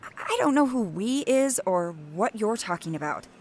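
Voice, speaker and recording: Actress as a high-voiced, rattled young woman. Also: very animated, normal speed, darkish tone, slight background noise. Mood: shaken disbelief. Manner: stammering, disbelieving. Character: frightened, skeptical young woman who sees ghosts. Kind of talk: audio drama acting.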